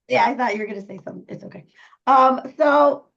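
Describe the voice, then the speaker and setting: A woman co-hosting a pet podcast, hoarse from a cold, talking casually with lots of ums and throat clearing. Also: high-pitched, fast talker, a bit bright. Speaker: podcast co-host, dog boarder. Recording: dull lo-fi audio.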